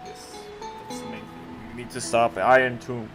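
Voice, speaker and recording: A man's voice: medium pitch, flat delivery, moderate pace, over loud music. A man giving casual gaming reaction commentary, informal and repetitive as he thinks aloud. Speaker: gamer reacting to a story quest.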